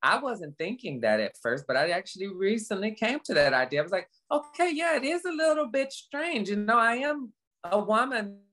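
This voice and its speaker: Woman, fifties, low-to-medium conversational voice, earnest, halting delivery, repeated phrases, candid motivational talk. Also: very animated, fairly bright tone. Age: 50s. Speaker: guest.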